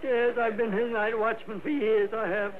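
Frail old American watchman played by a man, very high voice, plaintive and rustic; tinny vintage radio drama. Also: flat delivery, moderate pace, natural voice. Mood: tearful, bitter. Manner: rustic, repetitive, plaintive way of talking. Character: frail little old night watchman, distraught and vengeful.

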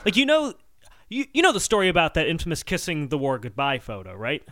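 A man's voice: a little high, wildly swooping pitch, quick pace, fairly bright tone, no room sound, clean studio sound. A man co-hosting a comedy podcast, chatting casually in a teasing, mock-scolding way. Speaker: podcast co-host.

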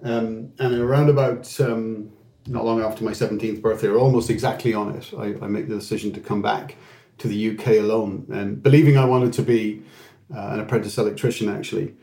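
A man's voice: fairly low pitch, fairly even intonation, gravelly, warm tone, reverberant. A middle-aged man with an Irish accent, talking through his career as an interview guest, with frequent restarts and fillers. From England. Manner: halting, with many restarts and fillers. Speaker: guest executive.

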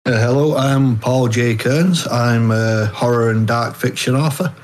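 A man from the north of England with a northern English accent, answering interview questions casually and haltingly as a guest. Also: medium pitch, fairly even intonation. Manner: hesitant, halting.

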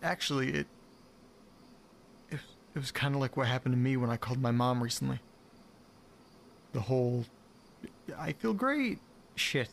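Casting: male actor for a brooding, bewildered male diarist, mid-pitched, halting and repetitive, faint noise behind him. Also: lively intonation, gravelly. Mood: uneasy, puzzled. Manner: halting, brooding, swearing, repeating words. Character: bewildered, frustrated man recording a confessional audio diary. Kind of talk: audio drama monologue, naturalistic.

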